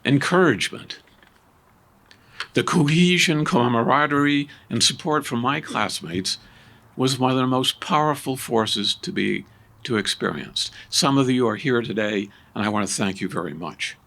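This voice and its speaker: An older man from Maine, a former cadet, giving formal remarks at a ceremony, measured and stressing key words with rising emphasis. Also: mid-range voice, vocal fry, a bit bright.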